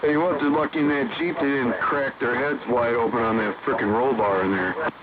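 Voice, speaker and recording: A man's voice: medium pitch, steady tone, moderate pace. Older american guy rambling on cb radio, real thin narrow sound, blunt and folksy, tons of static. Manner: folksy, blunt, salty language. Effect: CB single-sideband radio. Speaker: amateur CB radio operator. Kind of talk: casual rambling radio chat.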